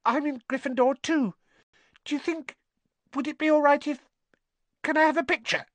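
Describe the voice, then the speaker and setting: A man's voice: very high voice, moderate pace, a bit bright, no echo, voice pushed up. A man voicing an eager, star-struck small schoolboy with a gushing, hopeful manner in an audiobook. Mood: eager, excited. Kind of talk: audiobook character voice.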